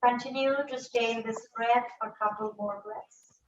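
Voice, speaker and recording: A woman with a slightly high voice guides a breathing meditation, speaking softly and slowly with long pauses between instructions. She sounds set back from the microphone in an echoey room, and the recording is muffled and dull. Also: distant mic, slight background noise. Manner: slow, soft, calm instructions with long pauses. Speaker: yoga teacher.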